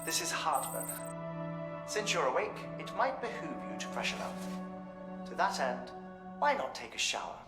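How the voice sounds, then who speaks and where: A man's voice: a little high, big pitch swings, normal speed, pauses often, roomy sound, over loud music. An actor playing a formal, courteous, quirky male researcher with genteel, wordy phrasing in a video game cutscene. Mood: politely wry.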